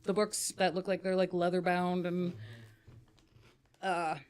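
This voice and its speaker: A woman running a tabletop game with a slightly low voice. She narrates loosely and swears freely, with plenty of filler words. Her delivery sounds relaxed and conversational. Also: a little slow, clear, resonant tone. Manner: casual, profane, lots of filler words. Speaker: game master.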